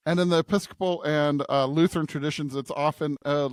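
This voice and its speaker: A man's voice: a little high, steady tone, fairly quick, clear, resonant tone, no room sound, studio quality. A man co-hosting a podcast, casually discussing scripture and thinking aloud as he checks in with his partner. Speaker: podcast co-host.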